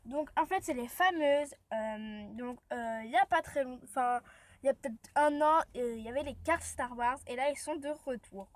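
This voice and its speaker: A woman's voice: high voice, normal speed. A woman with a cold and a sore throat, chatting and rambling casually to her viewers from inside a car. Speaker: vlogger. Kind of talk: casual vlog chat. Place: inside a parked car, rain outside.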